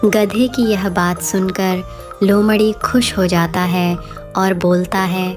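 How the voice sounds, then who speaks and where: A woman's voice: mid-range voice, fairly even intonation, takes it slowly. A woman narrating a scripted children's story as the storyteller. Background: plucked string music.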